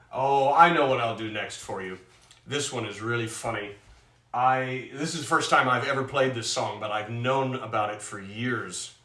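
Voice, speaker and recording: A man with a loud, bright speaking voice chats casually and pokes fun at himself between songs at the piano. He sounds a little distant from the microphone, with the roomy echo of a reverberant space. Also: medium pitch, unhurried. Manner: chatty and self-mocking, chuckling. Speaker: piano entertainer hosting a live stream.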